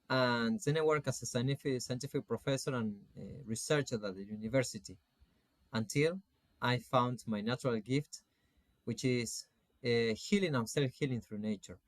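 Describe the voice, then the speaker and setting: A man in his forties from Argentina with an Argentine Spanish accent gives an informal online lecture as a coach, phrasing things in a halting, deliberate way. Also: mid-range voice, no echo. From Salta, Argentina. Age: 40s. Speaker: spiritual coach teaching an online course.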